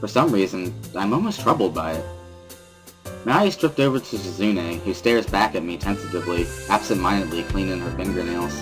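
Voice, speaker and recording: Low-voiced man narrating over music. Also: lively intonation, brisk. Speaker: amateur reader and narrator of a series. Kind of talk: first-person dramatic reading of a visual novel. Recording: prominent background music under voice. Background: instrumental music.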